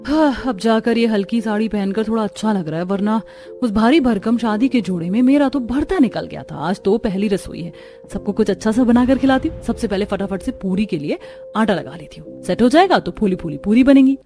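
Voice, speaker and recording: A woman's voice: mid-range voice, expressive, a little fast, warm tone, faint music behind, lightly acted. A woman voicing a whiny, put-upon young bride who complains and exclaims, in a cartoon story. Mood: chatty.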